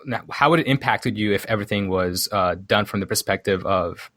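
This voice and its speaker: A man from Sudan explaining things casually as an interview guest, haltingly thinking aloud and correcting himself as he goes. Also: fairly low pitch, fairly bright tone, no echo. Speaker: podcast guest, programmer.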